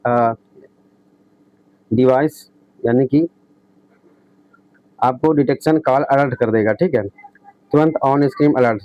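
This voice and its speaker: A man's voice: medium pitch, a little mellow, no room sound. Dull-sounding male tech explainer. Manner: repetitive, often adds a checking tag. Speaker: tech video host. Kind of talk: explainer, reading points and paraphrasing them. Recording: dull lo-fi close recording.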